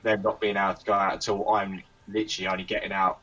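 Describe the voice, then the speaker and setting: A man's voice: low voice, little pitch movement, quick pace, sharp tone. A British man being interviewed, talking casually and a little hesitantly over a remote call. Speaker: band member interviewee. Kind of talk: casual chat. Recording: slightly dull remote call audio.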